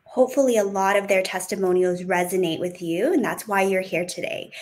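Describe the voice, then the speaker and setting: A woman's voice: medium pitch, fairly quick. Upbeat woman presenting on a video call. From United States. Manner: upbeat, welcoming, speaks in clear steps. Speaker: workshop presenter. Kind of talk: online workshop presentation. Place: home room on a video call.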